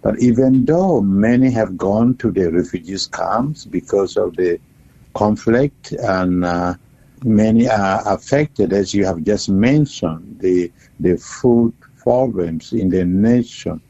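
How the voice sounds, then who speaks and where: A man with a South Sudanese accent, a bishop speaking haltingly and deliberately as an interview guest over the phone. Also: on the low side, creaky, mellow, husky, no room sound. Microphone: telephone. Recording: dull, muffled phone line.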